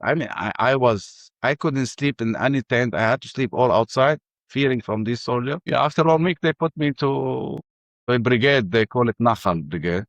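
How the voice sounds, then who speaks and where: An older man with an Arabic accent telling his life story in halting English full of restarts. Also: medium pitch, no room sound, lo-fi sound. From Ramallah. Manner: halting, many restarts and fillers. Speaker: interview guest. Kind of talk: personal testimony.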